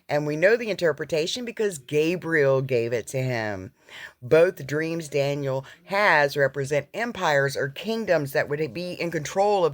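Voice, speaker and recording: A man's voice: a little high, dry recording. A man hosting, explaining prophecy in a conversational way with many fillers. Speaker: host. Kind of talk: casual bible teaching.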